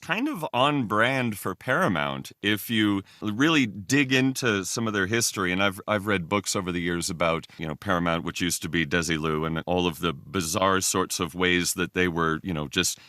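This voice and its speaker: Deep, bright-voiced man chatting casually. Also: normal speed, hardly any pauses, dry recording, studio quality. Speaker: podcast guest. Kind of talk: casual conversation.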